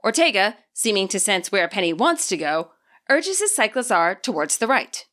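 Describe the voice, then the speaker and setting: A woman reading her own fan fiction aloud as an audiobook, with expressive storytelling. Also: mid-range voice, moderate pace. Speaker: fanfiction author reading her podfic. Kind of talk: audiobook narration.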